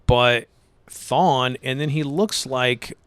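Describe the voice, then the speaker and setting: A man co-hosting a casual podcast about baseball, rambling and relaxed, saying "dude" a lot. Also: mid-range voice, slow pace, smooth, no room sound. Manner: laid-back, rambling, says "dude" a lot. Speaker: podcast co-host.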